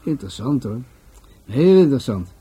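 A husky, mellow-voiced police commissioner in a radio play. He is musing and world-weary, weighing things up in a deliberate, hesitant way. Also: medium pitch, sing-song. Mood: musing, resigned. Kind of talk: Dutch radio play acting.

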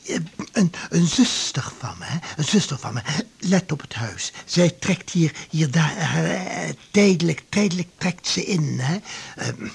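A muddled, pious old-school addict doctor in a radio play. He stammers and drifts dreamily in a very raspy voice full of gravelly creak. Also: a little high, expressive, full character voice. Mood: flustered, evasive. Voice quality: husky, creaky. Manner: halting, stammering, dreamy, florid. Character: rambling, dishevelled addicted male doctor, childlike and pious. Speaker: actor in a radio drama. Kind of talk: radio drama acting.

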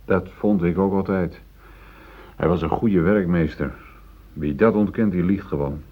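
An actor playing a troubled middle-aged factory worker, defensive and brooding, in a radio play. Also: male voice, low voice, measured pace, breathy edge. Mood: justifying himself. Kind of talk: Dutch radio play acting. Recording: dull, muffled old radio play recording.

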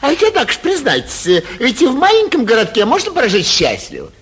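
A man playing a foppish, carefree young gentleman from the capital in a classic Russian stage comedy for radio, chatty and airy with a self-satisfied manner. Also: very high voice, huge pitch swings, fairly quick, exaggerated voice. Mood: breezy, genial. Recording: muffled, husky 1949 radio theatre recording.

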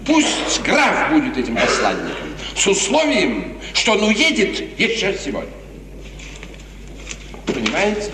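An actor playing a scheming, cynical court adviser, insinuating and persuasive, with theatrical 1950s radio drama phrasing. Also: male voice, high-pitched, wildly swooping pitch, a little slow, a loud crowd, full character voice. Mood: plotting, urgent. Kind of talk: 1950s Russian classical radio drama acting. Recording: echoey, distant old radio play recording.

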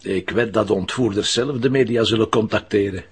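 An actor playing a dry, self-assured police commissioner with a Flemish accent in a radio crime drama. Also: male voice, fairly low pitch, brisk, husky. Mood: businesslike. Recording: room chatter in background.

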